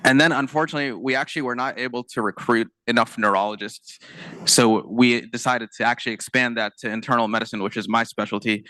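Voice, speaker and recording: A young man with a bright, smooth voice and low pitch, talking through his experience on a panel. He speaks casually, full of fillers. The sound is dry and close. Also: fairly even intonation, fast, no room sound, low-quality recording. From United States. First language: not Arabic. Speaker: panelist, internal medicine physician. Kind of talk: conference panel talk.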